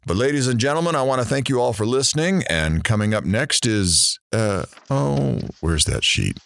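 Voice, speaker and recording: A man's voice: mid-range voice, big pitch swings, normal speed, vocal fry, fairly bright tone, no room sound. A man playing a kindly, courteous studio host in an audio drama, speaking encouragingly. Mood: upbeat sign-off. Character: kindly, appreciative male studio show host. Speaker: show host. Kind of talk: audio drama acting as a presenter.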